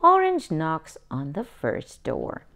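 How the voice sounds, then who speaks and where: Slow, lively woman reading a storybook. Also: low-pitched, vocal fry. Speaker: children's book reader, channel host. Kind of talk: reading a picture book aloud. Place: indoors at a table, hands over a book.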